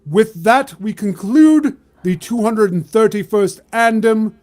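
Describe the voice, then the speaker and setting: Ceremonial announcer in a fantasy game, played by a man: loud, raised pitch, deliberate, formal. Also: animated, soft background music. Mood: formal, solemn. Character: formal official announcing the names of draftees.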